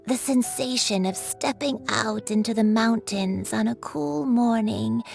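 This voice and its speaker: An actress voicing a gentle, forgetful old grandmother who speaks slowly and dreamily in a game. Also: a little high, vocal fry. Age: older. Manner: slow, dreamy and wistful. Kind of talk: game voice acting.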